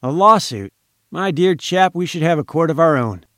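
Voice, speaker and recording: A man playing a brisk, friendly young journalist with a breezy manner. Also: fairly high pitch, lively intonation, fairly bright tone, no room sound, clean studio sound.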